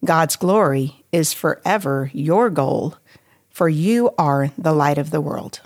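Slow, lower-pitched woman reading a devotional. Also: lively intonation, darkish tone. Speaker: devotional writer and reader.

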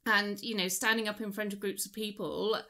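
Brief: female podcast host, mid-pitched, agreeable and reflective, casual chat. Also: steady tone, fairly quick, pushed and bright.

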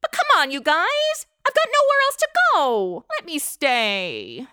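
A woman's voice: sits high, huge pitch swings, a little slow, pushed and bright, dry recording, studio quality, full character voice. A woman playing a sarcastic, entitled, brash modern princess with slangy speech in a comic radio play. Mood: brash, snarky. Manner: snarky, slangy.

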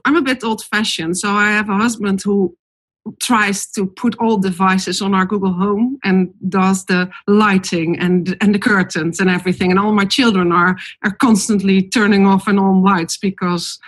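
A woman's voice: mid-range voice, fairly even intonation, moderate pace, breathy edge. A woman with a Dutch accent, a company CEO speaking frankly and matter-of-factly as a panel guest. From Netherlands. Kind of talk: panel discussion.

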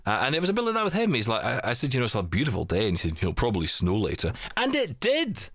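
A man hosting a tech podcast, chatting casually and telling stories with quick asides. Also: medium pitch, huge pitch swings, fairly bright tone, no room sound, studio quality. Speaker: podcast host. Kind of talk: casual chat.

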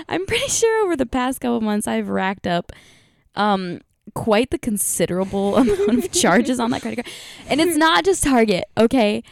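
A young woman chats to her viewers in a scratchy, gravelly voice, her throat worn out from singing. She rambles with fillers and laughs, recorded close and dry. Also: a little high, very animated, normal speed, dry recording. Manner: giggly, rambling with many fillers. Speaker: vlogger. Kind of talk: casual chat to viewers.

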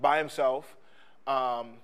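Halting, earnest man talking. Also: medium pitch, steady tone, a bit bright, smooth. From Philadelphia. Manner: halting, many restarts and ums. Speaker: podcast co-host; sports coach and trainer. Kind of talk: casual podcast conversation.

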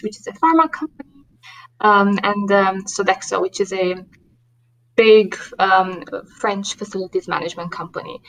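Romanian woman, thirties, earnest interview guest, mid-pitched, lots of fillers. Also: a bit bright, rough recording. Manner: earnest, many fillers and restarts. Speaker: diversity and inclusion manager, guest. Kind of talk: podcast interview.

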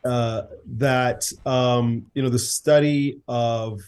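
A man's voice: medium pitch, steady tone, slow pace, darkish tone, smooth. A man, a director and writer, guesting on a podcast, easygoing, laughing often as he tells stories. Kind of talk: casual interview.

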